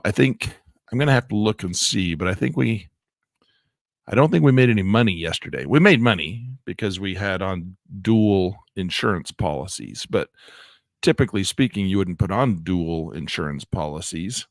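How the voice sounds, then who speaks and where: A man's voice: deep voice, moderate pace, dry recording, clean studio sound. A man hosting a live trading session, rambling and correcting himself as he talks through charts, his voice congested from allergies and a cold. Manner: rambling, self-correcting, excited about the market. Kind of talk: live screen-share trading commentary.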